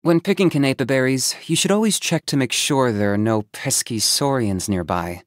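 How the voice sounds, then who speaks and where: An actor playing an aloof, pragmatic young hunter in a video game, speaking in a calm, reserved, matter-of-fact and dryly sardonic way. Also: male voice, mid-range voice, moderate pace, dry recording, studio quality, close to an everyday voice. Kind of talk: video game character voice acting.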